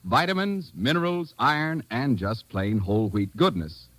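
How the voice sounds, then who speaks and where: A man's voice: medium pitch, lively intonation, moderate pace, a bit bright, no room sound. An American man announcing in a hearty, salesmanlike 1950s radio commercial style. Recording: vintage 1950s radio recording.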